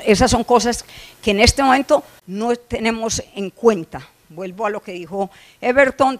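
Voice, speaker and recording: Colombian woman lecturing with frequent fillers. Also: mid-range voice. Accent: Colombian Spanish. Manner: explanatory, frequent "eh" fillers and restarts. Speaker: poultry nutrition researcher giving a conference talk. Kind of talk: conference lecture. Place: conference hall.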